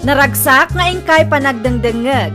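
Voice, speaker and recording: A woman's voice: sits high, moderate pace. A woman with an Ilocano accent introducing a radio drama as the channel host. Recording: loud music behind the voice.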